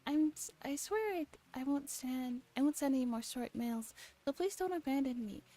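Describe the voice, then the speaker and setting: Woman as anxious young friend, pleading, apologetic, mellow higher voice, visual novel, light music behind. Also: expressive, normal speed.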